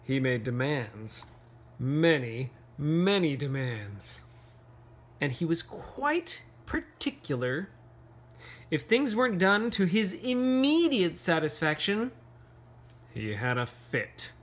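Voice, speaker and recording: A man reads a children's picture book aloud with a very animated delivery. He takes his time, playfully breaking off to ask questions and make casual asides to young listeners. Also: fairly high pitch, slow. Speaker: read-aloud storyteller.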